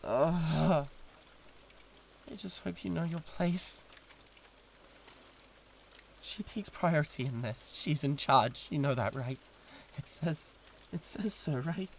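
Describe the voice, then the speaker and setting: A man playing a possessive, flustered demon with a British accent and a breathy voice, halting and repeating himself in a flirtatious audio roleplay. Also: fairly high pitch, a little hiss or hum. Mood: sulky, defensive. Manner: halting, repeating words with wounded pride. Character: jealous, possessive male demon, flustered and pleading.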